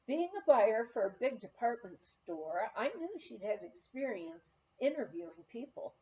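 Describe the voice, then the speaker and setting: A woman narrating a written memoir as an audiobook reader, with expressive storytelling. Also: a little high, a little slow, highs cut off.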